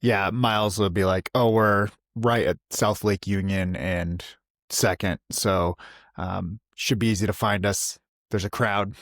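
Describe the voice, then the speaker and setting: A man's voice: on the low side, fairly even intonation, unhurried, a bit bright, no echo, studio quality. A man playing a tabletop role-playing game, chatting casually as he describes what his character does.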